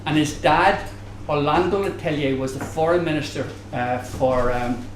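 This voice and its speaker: Higher-voiced older Northern Irish man, echoey room. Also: normal speed. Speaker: panelist, academic. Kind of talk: conversational panel talk, anecdotal. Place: bar venue, live audience discussion. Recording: echoey room, background noise, live event.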